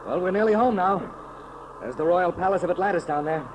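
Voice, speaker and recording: An actor in a 1930s radio serial playing a bold, commanding hero emperor with a declamatory, decisive delivery. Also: male voice, high-pitched, animated, a little fast. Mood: brisk, composed. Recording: noisy old 1930s radio recording.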